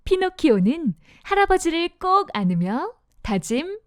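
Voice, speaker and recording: Lively high-voiced woman storyteller. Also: measured pace, very noisy. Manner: expressive storytelling for young children. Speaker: storybook narrator. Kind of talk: scripted children's fairy-tale narration.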